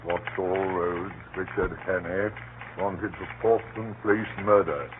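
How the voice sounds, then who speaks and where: Slow, stern police dispatcher. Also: male voice, low-pitched, steady tone. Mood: stern, official. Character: stern, impersonal male police radio dispatcher. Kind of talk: 1930s radio acting. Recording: noisy, narrow-band 1930s radio broadcast.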